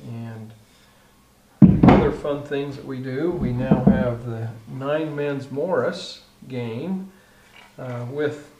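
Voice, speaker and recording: Slow, halting man in a roomy space. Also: mid-range voice. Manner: halting, searching for words. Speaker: craftsman furniture maker. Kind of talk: informal explanation of his work. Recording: roomy, slightly distant, faint noise.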